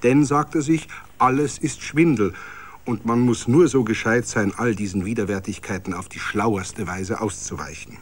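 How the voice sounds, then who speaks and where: A man's voice: lowish voice, moderate pace. A man narrating a literary story for radio.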